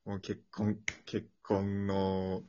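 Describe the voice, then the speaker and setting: A man appearing as a radio show guest, chatting casually and excitably in colloquial speech. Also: on the low side, drawn-out words. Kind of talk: casual after-talk chat. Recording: dull lo-fi online audio.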